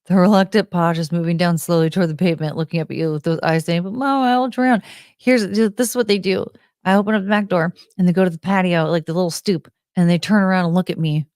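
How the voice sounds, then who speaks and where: A woman's voice: on the low side, fairly even intonation, fast, a little mellow, no echo, studio quality. An American woman hosting a live stream, chatting in a rambling, unfiltered way with casual swearing. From United States.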